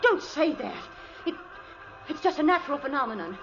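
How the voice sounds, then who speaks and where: An actress playing an anxious, emotional wife in an old-time radio mystery, with breathless, melodramatic delivery. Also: female voice, high-pitched, sing-song, normal speed, music in the background. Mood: alarmed. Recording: muffled, noisy vintage radio recording.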